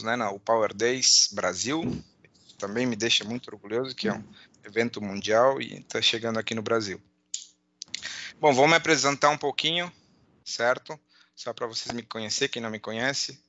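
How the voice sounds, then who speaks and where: A middle-aged man with a southern Brazilian border accent touched by Uruguayan, giving an informal online presentation in a halting way, repeating words and checking in often. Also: medium pitch, sharp tone, dry recording, dull audio. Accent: southern Brazilian border accent with Uruguayan influence. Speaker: conference speaker, accountant and data analyst. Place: at home, indoors.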